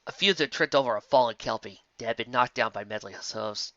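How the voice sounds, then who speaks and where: A man narrating a fan fiction audiobook, with a lively storytelling delivery. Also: medium pitch, fast talker, no echo, dull audio. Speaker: audiobook reader.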